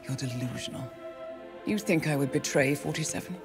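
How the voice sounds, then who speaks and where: A woman's voice: low-pitched, moderate pace, over music. A cool female mission handler. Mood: defiant. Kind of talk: game cutscene voice acting.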